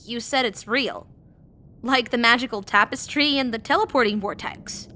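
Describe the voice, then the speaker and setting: A woman's voice: fairly high pitch, normal speed, bright, forceful tone, slight background noise. An actress playing a young girl who is an eager fan, asking lots of questions in an audio drama. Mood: hurt.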